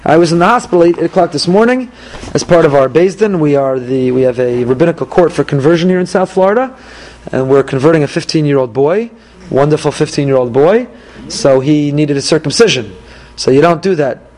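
A man teaching a Torah class as a rabbi, animated, repeating key phrases and putting questions to his listeners. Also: a little high, a little fast, rough recording.

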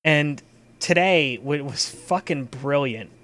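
A man hosting a casual chat about a tabletop game as its storyteller, speaking in a halting, informal way and swearing casually. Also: on the high side, expressive, a bit bright.

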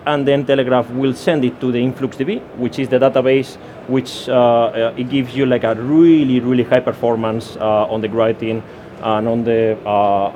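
Spanish guy giving a tech conference talk, lots of uhs and restarts, mid pitch, bit of hiss. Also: darkish tone. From Spain. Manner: hesitant, many fillers and restarts. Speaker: conference speaker. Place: conference session room.

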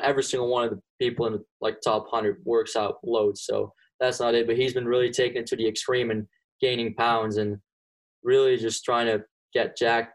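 A man's voice: medium pitch, low-quality recording. A young man from Belgium, answering interview questions casually with lots of ums and restarts. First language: Dutch (Flemish). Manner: relaxed, many ums and restarts. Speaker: golfer being interviewed.

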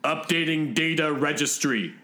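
A man voicing a flattering robot servant, deferential and formal, in a comic sci-fi story. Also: fairly high pitch, normal speed, roomy sound. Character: obedient, flattering robot servant of spaceship commander.

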